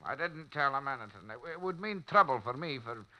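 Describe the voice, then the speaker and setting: An actor playing a gruff, greedy middle-aged janitor in an old radio drama, suspicious and wheedling as he bargains. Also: medium pitch, expressive, fairly quick, bright, forceful tone. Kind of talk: 1940s radio acting.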